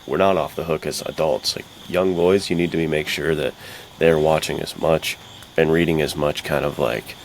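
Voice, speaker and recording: A man with an exceptionally deep, bass voice co-hosting a casual podcast. He speaks earnestly and informally, in a conversational flow. There is faint noise in the background. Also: fairly even intonation, normal speed, gravelly, few pauses, low-quality recording. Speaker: podcast co-host.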